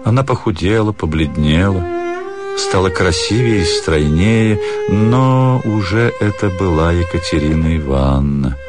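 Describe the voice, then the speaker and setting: A man narrating a literary story for radio in a measured, pensive manner. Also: deep voice, loud music behind the voice.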